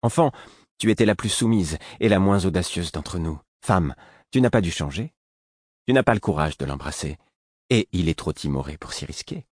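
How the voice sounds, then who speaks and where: Male narrator as mocking young woman, raised pitch, sly, brash, malicious, storybook rival. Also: sing-song, moderate pace, a little mellow, no echo, clean studio sound. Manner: taunting, sly.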